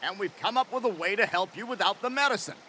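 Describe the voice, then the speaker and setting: A man's voice: high voice, quick pace, noisy background, lightly acted. A man voicing a stern, admonishing jungle animal friend in a cartoon.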